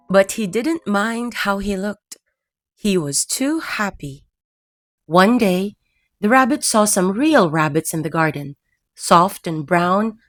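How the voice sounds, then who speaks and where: Woman telling a kids bedtime story, soothing, slow pace, music playing under it. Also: medium pitch. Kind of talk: scripted bedtime story narration for children.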